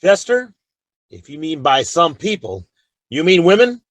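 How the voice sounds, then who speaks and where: A plain-spoken western lawman for radio. He talks slowly and dryly, on a slightly high pitch that moves widely. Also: sing-song, no echo. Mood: wry. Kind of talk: old-time radio western acting.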